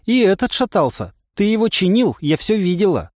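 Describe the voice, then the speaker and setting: A man voicing a timid but stubborn young woman who talks in a squeaky, plaintive way. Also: very animated, normal speed, a little mellow, no room sound, studio quality. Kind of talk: audiobook character voice.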